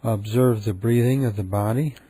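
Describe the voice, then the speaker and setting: A man leading a guided meditation in a gentle, calm, unhurried manner with frequent pauses. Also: lowish voice, breathy edge, dry recording.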